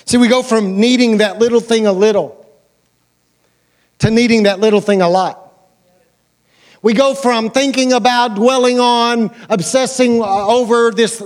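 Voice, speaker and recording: An American male pastor delivers a sermon in a notably high-pitched voice. His manner is conversational and colloquial. He leans on repeated phrases and rhetorical questions to press each point. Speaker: pastor preaching to a congregation.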